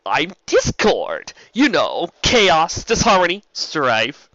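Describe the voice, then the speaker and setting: A man's voice: on the high side, wildly swooping pitch, takes it slowly, pushed and bright, no room sound, dull audio, voice pushed up, heavily acted. A man voicing a mocking, serpentine chaos spirit with a sarcastic, drawling, theatrical delivery in a fantasy story. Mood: gleeful, theatrical.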